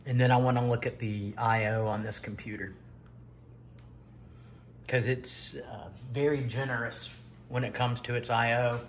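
A middle-aged man talks casually through a home show-and-tell in a medium-pitched voice. He speaks off the cuff and often pauses mid-sentence. There is some room noise behind him, as from a camera set a little way off indoors. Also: steady tone. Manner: halting, pausing mid-sentence. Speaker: retro computer vlogger.

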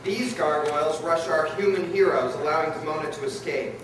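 In a very echoey hall, a man narrates a scripted radio play. His voice is fairly high and picked up from a distance, with clear noise around it. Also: brisk, a bit bright. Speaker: narrator and director. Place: live convention stage reading. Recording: very echoey hall, far mic, noisy.

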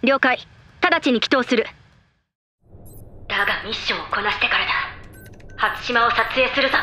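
A woman's voice: a little high, moderate pace, sharp tone, a little hiss or hum. A cool male recon pilot. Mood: tense. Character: male fighter pilot, cool under fire.

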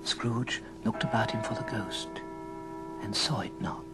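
A man narrating an old radio drama as a dramatic storyteller, with theatrical, deliberate phrasing. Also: on the low side, natural voice. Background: music.

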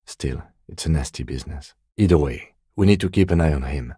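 An actor playing a calm, methodical middle-aged police lieutenant in a video game, measured, understated and dryly wry, with a husky voice. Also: male voice, rumbling low, no echo, studio quality, full character voice. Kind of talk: video game character dialogue.

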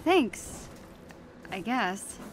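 A woman's voice: mid-range voice, very animated, extremely slow, a little hiss or hum. A woman voicing an earnest, nervous but plucky teenage girl superhero in a video game, with a casual, hesitant way of talking.

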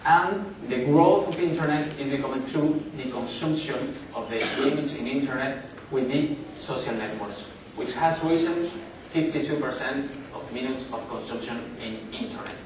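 A man in his thirties with a Spanish accent, giving a business presentation at a conference in English, pausing often with fillers and restarts. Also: mid-range voice, noisy background. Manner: halting, many fillers and restarts. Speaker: conference speaker. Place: large hotel conference hall. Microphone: far camera in the audience. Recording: echoey hall recorded from far off.

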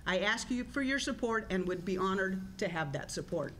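A woman in her sixties gives a steady, formal campaign statement at a candidate forum. She speaks into a table mic with mid-range pitch. A faint hiss runs underneath. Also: normal speed. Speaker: political candidate.

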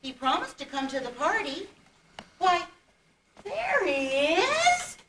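A woman's voice: very high voice, wildly swooping pitch, slow, full character voice. A woman playing an exuberant, silly patchwork doll in a puppet show, eager and sing-song. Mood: playful. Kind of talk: amateur puppet-show singing and acting. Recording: distant echoey home video, loud noise.

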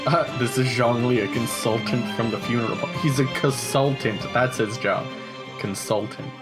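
A man's voice: mid-range voice, fairly even intonation, moderate pace. A young man hosting a gaming video with casual, joking, rambling commentary. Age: young adult. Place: indoors, in front of a webcam. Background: game music.

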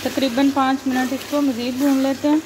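A woman, calmly explaining each cooking step as a recipe voice-over. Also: high voice, normal speed, background noise. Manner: calm, instructive, points things out to viewer. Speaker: cooking channel host. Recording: loud frying sizzle behind voice.

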